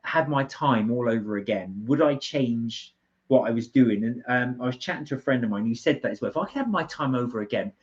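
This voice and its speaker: A man's voice: mid-range voice, animated, a little fast. British man chatting on a lo-fi livestream. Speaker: live stream co-host. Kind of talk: casual live chat, reading viewer comments. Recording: dull, lo-fi livestream audio.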